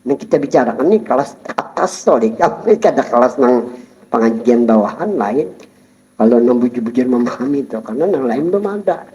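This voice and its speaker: A man giving a Sufi study-circle lecture, explaining calmly and chuckling while he addresses his listeners. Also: medium pitch, a little fast. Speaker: religious teacher. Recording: dull lo-fi recording.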